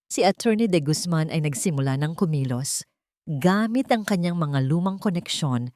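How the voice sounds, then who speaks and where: A woman with a low-pitched voice narrates a scripted audio drama in the first person. Her delivery is measured and deliberate, as a storyteller's. The sound is dry, with very little room in it. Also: a little mellow, no room sound.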